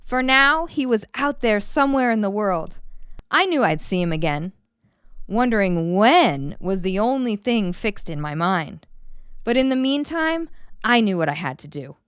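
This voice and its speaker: Female storybook reader, smooth and clean close sound, expressive delivery with stretched, emphasized words. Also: medium pitch, no echo. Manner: animated, dramatic storytelling with drawn-out emphasis. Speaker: children's story reader and host. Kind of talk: expressive children's storybook read-aloud.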